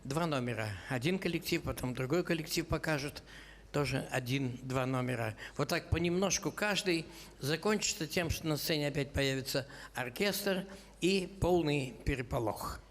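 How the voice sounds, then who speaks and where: A man's voice: fairly high pitch, moderate pace. An older man hosting a jazz concert from the stage, joking with the audience in a wry, conversational way. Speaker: concert host and jazz critic. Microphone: stage microphone. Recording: hall reverb with audience.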